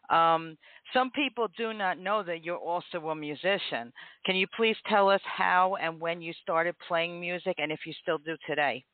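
A woman's voice: on the low side, dry recording. Woman host on a tinny line. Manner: friendly, with frequent restarts. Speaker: podcast host. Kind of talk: casual interview on a call-in show. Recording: tinny phone-like audio, dry.

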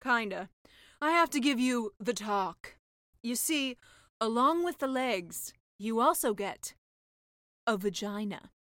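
A woman's voice: a little high, lively intonation, slow pace, a bit bright, dry recording, studio quality. A woman voicing a brash, worldly sea witch with a blunt, matter-of-fact, slightly sly manner in a comic fairy-tale audiobook. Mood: frank.

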